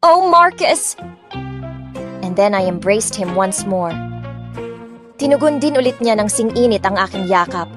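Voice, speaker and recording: A woman's voice: medium pitch, expressive, measured pace, pauses often, close to an everyday voice. A young woman playing a lovestruck heiress, narrating her own romance story in an emotive, dramatic way. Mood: tender. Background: music.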